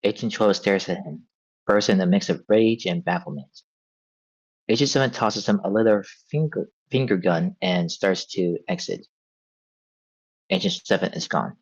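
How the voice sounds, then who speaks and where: A man's voice: sits low, expressive, moderate pace, creaky, breathy edge, dry recording, lo-fi sound. A man narrating a script's stage directions at a table read.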